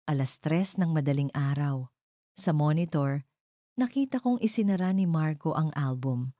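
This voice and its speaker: A woman's voice: sits low, warm tone, dry recording, clean studio sound. A woman with a Filipino accent reading a dramatic first-person story as a scripted narration.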